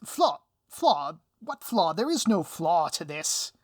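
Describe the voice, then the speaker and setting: A man playing a gloating, melodramatic supervillain mastermind in an audio roleplay, with grandiose, drawn-out emphasis. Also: a little high, big pitch swings, slightly rough, no echo, studio quality, heavily acted. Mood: melodramatic, flustered. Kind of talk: audio roleplay villain acting.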